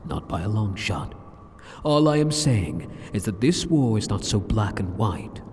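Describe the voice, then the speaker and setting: A man's voice: fairly low pitch, sing-song, unhurried, darkish tone, slightly rough, full character voice. A man playing an arrogant, seductive, sadistic demon king in an ASMR roleplay, theatrical, taunting and condescending. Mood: wry, reasoning. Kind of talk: ASMR roleplay, scripted monologue. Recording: long cavernous reverb.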